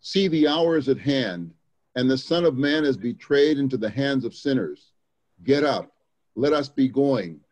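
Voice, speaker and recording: A man's voice: mid-range voice, lively intonation, unhurried, darkish tone. A man reading scripture aloud as part of a church dramatic reading. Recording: dull lo-fi sound.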